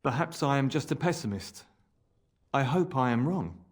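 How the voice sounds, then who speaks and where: A man's voice: medium pitch, animated, moderate pace, slightly rough. An actor playing a nervous, evasive research assistant in a fantasy video game, solemn and hesitant in his speech. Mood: reluctant, fearful. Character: nervous, evasive male impostor research assistant, gloomy, turning fanatical.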